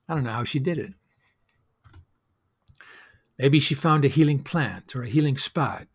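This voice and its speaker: A man's voice: mid-range voice, husky. A man hosting a solo storytelling show, speaking in a reflective, conversational and wry way, as in a personal monologue.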